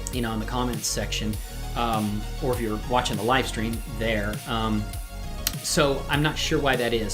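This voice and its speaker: A woman hosting a live software tutorial, chatting casually and thinking aloud as she demonstrates. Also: rumbling low. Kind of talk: casual tutorial livestream chat. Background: music playing behind the voice.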